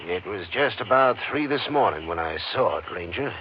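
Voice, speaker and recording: An actor playing a cooperative rancher witness in a 1950s radio drama, speaking plainly, hesitantly and respectfully. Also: male voice, fairly low pitch, animated, normal speed, slight background noise, close to an everyday voice. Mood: earnest. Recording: muffled old radio recording.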